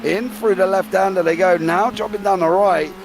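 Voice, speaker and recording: A man with a British accent calling a live sim racing broadcast, excitable play-by-play that rattles off driver names. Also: high voice, normal speed, creaky, background noise. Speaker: race commentator.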